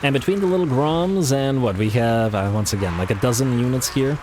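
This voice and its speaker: A man casually commentating on a strategy game he is playing, chatty with lots of fillers, his voice a little raspy from coughing. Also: medium pitch, brisk, background noise. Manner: relaxed, chatty, frequent fillers and repeated words. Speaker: let's play commentator.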